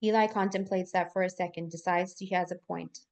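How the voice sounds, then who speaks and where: A woman's voice: lowish voice, steady tone, brisk, darkish tone. A woman reading scripted stage directions aloud as narrator in a live table read.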